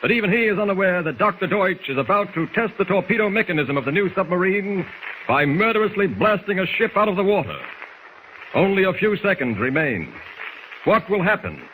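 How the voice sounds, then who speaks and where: A man's voice: sits high, sing-song, brisk. An American man narrating a 1940s radio serial with urgent, grandiloquent delivery. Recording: faintly noisy 1940s radio recording.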